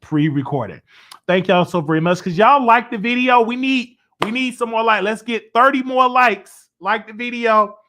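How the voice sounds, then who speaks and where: Man, live call-in host, casual, voice worn, excitable. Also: normal speed. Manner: animated, pitch jumps high when excited. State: losing his voice.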